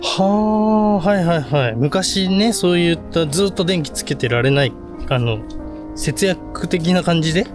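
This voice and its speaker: A man's voice: high-pitched, animated, unhurried, over music. A man co-hosting a ghost-spot exploration outdoors, reacting casually and jumpily to his companion as someone easily spooked. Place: outdoors on location in a seaside park at night.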